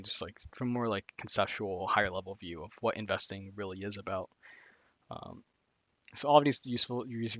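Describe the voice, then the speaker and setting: A male streamer with a fairly low voice talks loosely and casually, piling on fillers and circling back on himself. The sound is close and completely dry, with no room around it. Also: a bit bright, dry recording. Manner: rambling, heavy on "like" and "again", frequent restarts. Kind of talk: casual live-stream commentary on finance resources.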